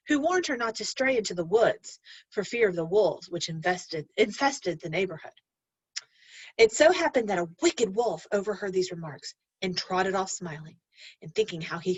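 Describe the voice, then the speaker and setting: A woman's voice: medium pitch, breathy edge, no room sound, rough recording. A woman teacher reading a storybook aloud to young learners, speaking expressively and instructively and addressing the children directly.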